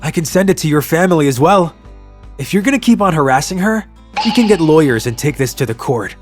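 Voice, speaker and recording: A man's voice: fairly high pitch, expressive, a little fast. An actor playing an earnest young office worker, narrating his own love story in a manga drama, with music behind. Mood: firm, confronting.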